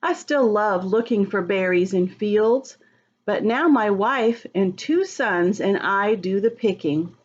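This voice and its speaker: Lady doing kids storytime, slow and really up and down, talks right to the little ones, slightly muffled recording. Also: mid-range voice. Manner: expressive, drawn-out words, addresses child listeners directly. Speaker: children's storybook reader. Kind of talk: read-aloud to young children, chatting and asking questions.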